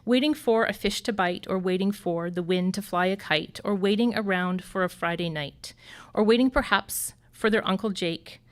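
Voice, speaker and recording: A woman's voice: medium pitch, clean tone. Woman reciting rhyming verse sing-song. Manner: sing-song rhythmic recitation, slowing on key lines. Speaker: teacher.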